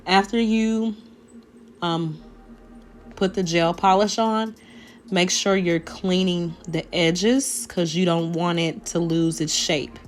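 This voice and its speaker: Slow, raspy-voiced woman. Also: fairly even intonation. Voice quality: naturally deep voice. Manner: chatty, rambling, addresses viewers directly. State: raspy after a sinus infection. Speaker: nail tutorial creator. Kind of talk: casual voice-over tutorial. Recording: faint background music.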